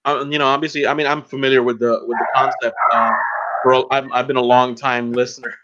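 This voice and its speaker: Guy podcast host, average pitch, rambly and stop-start, muffled online call audio. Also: level tone, background noise. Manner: halting, rambling, filler-heavy. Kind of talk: casual podcast interview.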